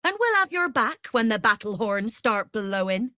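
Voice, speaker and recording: Mercenary lady crime boss for a game, wry n threatening, dry close sound, lots of pitch movement. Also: very animated, moderate pace, bright, forceful tone, dry recording. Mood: wry, businesslike. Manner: wry, self-assured, coolly menacing. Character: shrewd, mercenary female Zhentarim smuggler boss, threatening and wry. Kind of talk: video game character voice lines.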